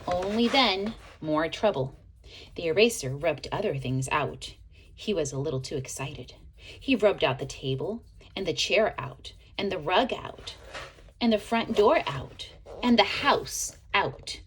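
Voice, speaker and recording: A woman reading a children's picture book aloud in an expressive way, as a teacher would to young listeners. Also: on the low side, a little hiss or hum. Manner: expressive, pauses to ask listeners questions. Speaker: storybook reader, teacher.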